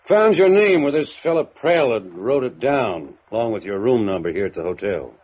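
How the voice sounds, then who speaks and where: A shrewd country police chief in an old radio drama. He is husky and folksy, laconic in wording but animated in pitch. The vintage recording sounds muffled. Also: normal speed. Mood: folksy, probing. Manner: laconic, folksy drawling idiom. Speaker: radio drama supporting actor. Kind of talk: 1950s radio drama acting.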